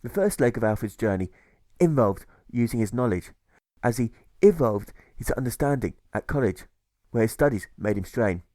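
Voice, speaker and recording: Male audiobook narrator, medium pitch, rhythmic scripted verse, measured delivery, very dry recording. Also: animated, mellow, no echo. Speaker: author reading own audiobook.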